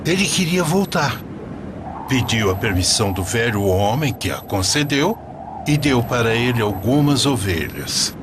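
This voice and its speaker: A man tells a religious story in scripted narration, slowly and with lively intonation. His delivery is deliberate and expressive, with noticeable ambient noise behind him. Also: medium pitch.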